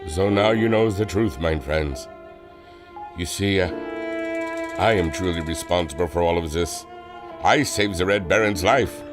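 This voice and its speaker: Casting: male actor as solemn German airman burdened by guilt, lowish voice, German accent, radio serial, music underneath. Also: unhurried, pauses often. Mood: regretful. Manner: solemn, melodramatic confessing. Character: remorseful, earnest German airship inventor with a troubled past. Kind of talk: old-time radio adventure serial acting.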